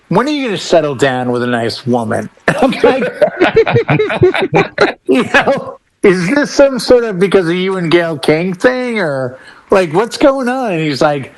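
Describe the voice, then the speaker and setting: A man chatting casually on a panel in an animated, joking, exclamatory way. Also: a little high, rough recording. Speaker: podcast panelist and DJ. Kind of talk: casual chat.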